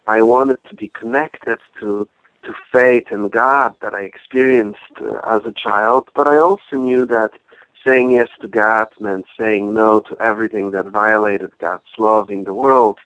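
A man in his forties with a Polish accent, a native Polish speaker, telling his story reflectively and with pauses as an interview guest. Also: on the low side, steady tone, no room sound, phone-quality sound. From Poland. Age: 40s. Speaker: podcast guest, activist and author.

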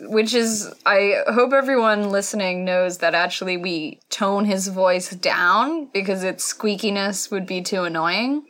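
A woman in her twenties co-hosts a casual podcast chat. She delivers her humor dry and deadpan. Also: medium pitch, measured pace, few pauses. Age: 20s. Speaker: podcast co-host.

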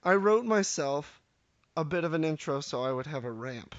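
A man's voice: fairly high pitch, animated, normal speed, no room sound, dull audio. A man in his thirties hosting a casual solo podcast intro, rambling and self-deprecating with lots of hesitation. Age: 30s. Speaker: podcast host.

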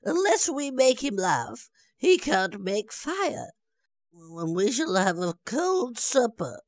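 A man reading a storybook aloud and voicing a fussy, chattering old male wizard in a high, theatrical voice. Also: sing-song, slow, no room sound, heavily acted.

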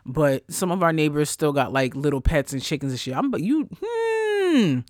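A man with a high voice hosts a casual solo podcast, talking into a close mic in a dry, deadened space. He rants in an animated, profane way, swinging between loud bursts and quiet asides. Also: normal speed, no room sound. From Haitian family. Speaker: podcast host.

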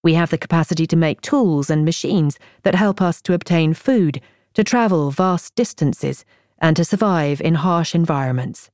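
A woman reading a nonfiction audiobook in a measured, articulate way, as a scripted narrator. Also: sits low, darkish tone, dry recording, clean studio sound.

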